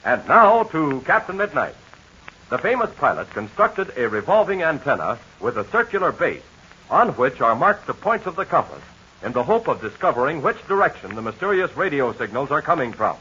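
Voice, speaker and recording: Male 1940s serial announcer, bright, lively pitch, husky edge, muffled old radio. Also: moderate pace, a little hiss or hum. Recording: dull vintage radio recording.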